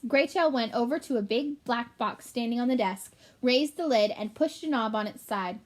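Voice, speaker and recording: A woman's voice: on the high side, normal speed. A woman reading a children's book aloud, with explanatory asides for young listeners. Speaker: storybook reader.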